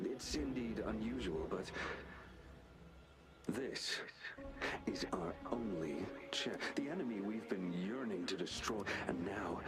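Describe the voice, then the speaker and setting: A hardened, bitter war general from a video game. His voice sits low and moves slowly, with brooding, deliberate intensity. Loud music plays behind him. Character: grim, unyielding male war general, bitter and driven. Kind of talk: dramatic video game voice acting.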